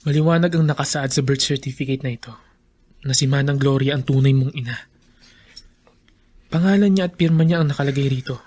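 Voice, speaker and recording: A man's voice: medium pitch, fairly quick, long pauses, close to an everyday voice. A man playing an earnest, reasoning family mediator in a Filipino radio drama, explaining carefully. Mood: earnest persuasion. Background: soft music.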